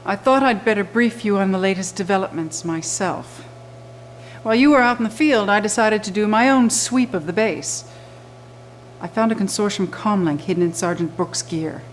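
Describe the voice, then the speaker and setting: A woman's voice: medium pitch, moderate pace, a little hiss or hum, close to an everyday voice, poor audio. A woman in her thirties playing a stern, businesslike resistance officer, giving a serious briefing in a video game cutscene. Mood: grim, regretful. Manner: serious, clipped briefing delivery.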